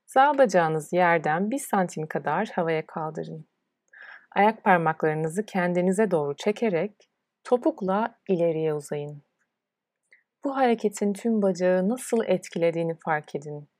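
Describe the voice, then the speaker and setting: Female yoga nidra guide, lower register, dry close sound, soothing and unhurried delivery. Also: lively intonation, a little mellow, no echo. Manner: soothing, unhurried instruction with pauses. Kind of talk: guided relaxation meditation.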